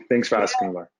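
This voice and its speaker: A man from Austria on a video call, telling his story as a guest, quick and often repeating words as he starts sentences. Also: lowish voice, dry recording. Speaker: alumnus guest. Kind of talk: casual webinar storytelling. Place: home room with wood ceiling.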